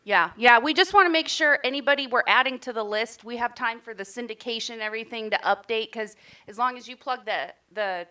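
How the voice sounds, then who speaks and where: A woman's voice: fairly high pitch, brisk, pushed and bright, clear, resonant tone. A woman staff member informally explaining a procedure to colleagues at a meeting.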